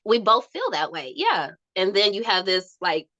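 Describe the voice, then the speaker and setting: Soft-voiced woman with a bright, dry tone. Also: mid-range voice, moderate pace, dry recording, lo-fi sound. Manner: animated storytelling with laughs and acted-out quotes. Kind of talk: candid podcast conversation.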